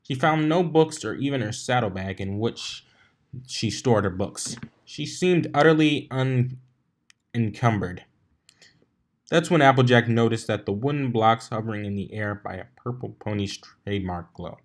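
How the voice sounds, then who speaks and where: A man's voice: fairly low pitch, moderate pace. A man narrating a fan fiction story aloud in an informal way, stumbling over and rereading lines now and then.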